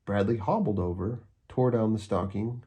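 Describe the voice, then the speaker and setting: A man narrating a children's storybook aloud, expressively and with deliberate, dramatic emphasis. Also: fairly low pitch, animated, dark tone.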